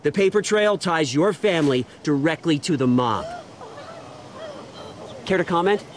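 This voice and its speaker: A man's voice: fairly high pitch, expressive, measured pace. A male actor playing a pushy, accusatory press reporter in a video game, calling out insistently. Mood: accusing. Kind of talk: dramatic game dialogue. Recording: crowd and noise behind shouted questions.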